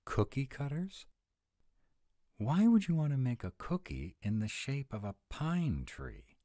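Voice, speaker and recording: A man's voice: medium pitch, very animated, dark tone, dry recording, clean studio sound. A man playing a grumpy, fussy, easily flustered were-dragon who loves food, in a dramatic, exasperated roleplay audio. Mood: sulky, puzzled. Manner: exasperated, dramatic, slow and emphatic. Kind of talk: one-sided romantic comedy roleplay audio.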